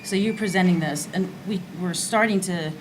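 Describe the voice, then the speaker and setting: Earnest woman with a lowish voice. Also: moderate pace, a little hiss or hum, poor audio. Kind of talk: casual roundtable discussion.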